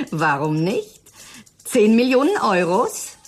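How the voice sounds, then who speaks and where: An actress in a German radio drama playing a cool, mocking female media executive with a deliberate, sardonic manner. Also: fairly high pitch, wildly swooping pitch, full character voice. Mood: amused, sardonic.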